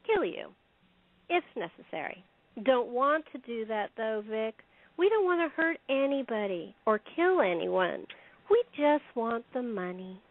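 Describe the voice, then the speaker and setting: A woman's voice: a little high, big pitch swings, a little slow, no echo. A woman playing a sinister, coolly confident secretary turned armed robber in an audio play, mocking and self-assured. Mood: cool, threatening. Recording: tinny narrow phone-like sound.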